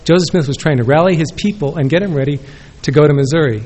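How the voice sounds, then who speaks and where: A man's voice: fairly high pitch, fast, darkish tone. A man giving a rambling, self-correcting response at an academic conference, speaking into a podium microphone. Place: conference room with audience.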